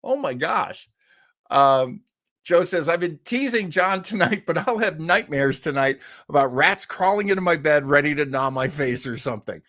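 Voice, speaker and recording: A man's voice: a little high, lively intonation, fairly bright tone, dry recording. Rambling, jokey man hosting, close dry sound. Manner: rambling, halting, jokey asides. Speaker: live show host. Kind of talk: casual chat, reading and answering listener comments.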